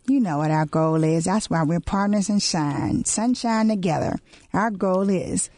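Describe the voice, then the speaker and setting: A woman's voice: fairly low pitch, unhurried, a little mellow, no echo. A woman in her forties co-hosting a radio talk show, emphatic, repeating key words and laughing often. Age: 40s. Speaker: radio co-host. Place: radio studio.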